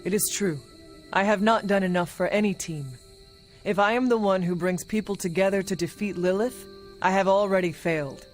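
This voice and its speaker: A solemn young hero who leads a supernatural team in a video game, played by a woman. The delivery is measured and formal, resolute and grave, over a music bed. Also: medium pitch, steady tone, close to an everyday voice. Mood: somber, self-blaming. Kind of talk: video game cutscene acting.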